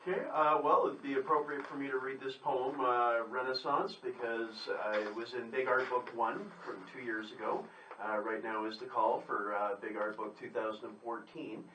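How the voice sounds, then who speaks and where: A man's voice: mid-range voice, steady tone, moderate pace, sharp tone, slight background noise. Male poet in an echoey room, slightly distant. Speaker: poet, radio guest. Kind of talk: live radio talk and poetry reading. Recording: echoey room, away from mic.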